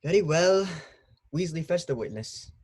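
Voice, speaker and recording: Pompous wizarding minister played by a man, blustery, scoffing, highish and very animated, table-read courtroom drama. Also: normal speed, husky. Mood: brusque. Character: blustering, pompous, dismissive government minister presiding at trial. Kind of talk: amateur online table read of a screenplay.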